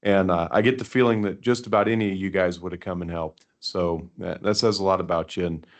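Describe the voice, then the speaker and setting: A man, a county commissioner, making relaxed, joking remarks at a public meeting. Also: deep voice, a little fast.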